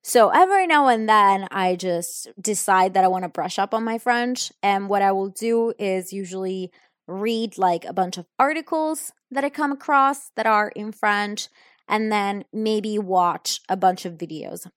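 A young woman speaks English slowly and deliberately, taking her time over each answer. She sounds thoughtful and a little hesitant, filling gaps with soft ums. Her voice is close and dry, with no room sound around it. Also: medium pitch, no echo. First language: Italian. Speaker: English learner answering questions. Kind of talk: unscripted answers in an English lesson.

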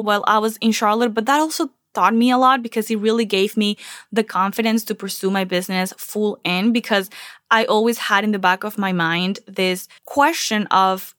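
A woman's voice: mid-range voice, fairly bright tone, dry recording, clean studio sound. Earnest woman podcast host from Venezuela. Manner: earnest, reflective storytelling. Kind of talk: casual solo podcast talk.

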